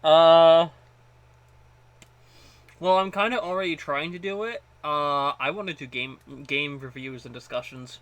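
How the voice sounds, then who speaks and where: A man's voice: on the high side, measured pace, pauses often, no echo. A man co-hosting a podcast in casual chat, often repeating words as he gets a sentence going. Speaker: podcast co-host.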